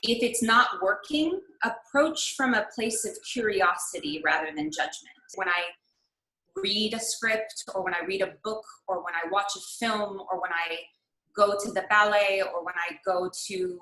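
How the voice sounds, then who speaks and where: A woman raised in the United States leads an online master class over a video call, speaking in a reflective, searching way with frequent pauses and fillers. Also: mid-range voice, sharp tone. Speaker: workshop leader. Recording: echoey room.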